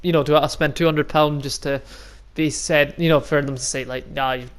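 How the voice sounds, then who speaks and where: A young Scottish man from the Highlands in his twenties, talking casually to his viewers. He rambles, swears freely and laughs often. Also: steady tone, normal speed. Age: 20s. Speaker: vlogger and youth football coach. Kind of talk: casual monologue to camera.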